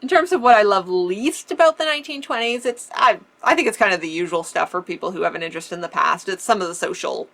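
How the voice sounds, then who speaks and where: A woman's voice: mid-range voice, fast talker, vocal fry, few pauses, a bit bright. A woman from Canada with a slight Canadian accent and a faint leftover lisp, chatting through a casual question-and-answer video with frequent laughs. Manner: chatty, laughs often, restarts words. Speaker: vintage-style vlogger. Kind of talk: casual Q&A chat.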